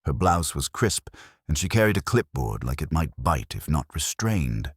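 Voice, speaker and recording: Male audiobook narrator for detective fiction: extremely low, husky, wry, lively intonation. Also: moderate pace, no echo. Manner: dry, wry. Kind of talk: scripted first-person detective story narration.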